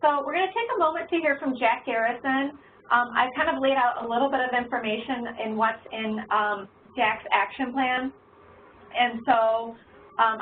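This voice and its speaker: Woman facilitating over a tinny call. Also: fairly high pitch. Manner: conversational, many fillers. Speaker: workshop facilitator. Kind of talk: online professional development session. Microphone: video call. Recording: tinny, phone-like call audio with faint noise.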